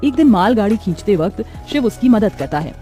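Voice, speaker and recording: Casting: female storyteller for scripted moral tales, medium pitch, faint music underneath. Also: sing-song, a little fast, dark tone.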